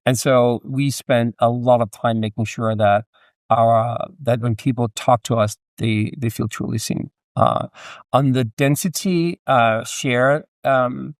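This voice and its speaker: A man with a Danish accent chats as a guest on a podcast. His mid-range voice moves haltingly through casual, hedged explanations. The sound is dry, with little room around it. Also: mid-range voice, fairly even intonation, dry recording. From Denmark. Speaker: podcast guest, entrepreneur and author. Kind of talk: casual interview chat.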